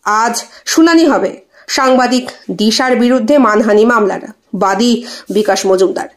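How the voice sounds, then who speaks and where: Solemn judge, read by a high-voiced woman. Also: measured pace, lightly acted. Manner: formal, authoritative. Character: solemn male judge presiding over a court.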